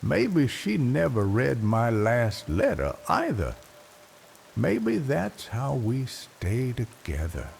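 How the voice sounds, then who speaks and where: An older man narrating a bedtime story in a slow, reflective, wistful way, leaving long pauses between phrases. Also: medium pitch, wildly swooping pitch, creaky. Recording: rain noise behind the voice. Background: soft rain ambience.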